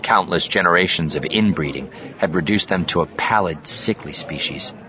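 A man's voice: low-pitched, normal speed, pushed and bright. A man reading science fiction as a dramatic, scripted audiobook narration. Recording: slightly dull studio track over ambient effects.

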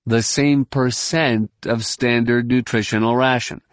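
A man voicing a young Jedi who is weary and guarded, speaking in a flat, restrained way. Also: mid-range voice, unhurried, no echo, clean studio sound, lightly acted. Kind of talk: audiobook character voice.

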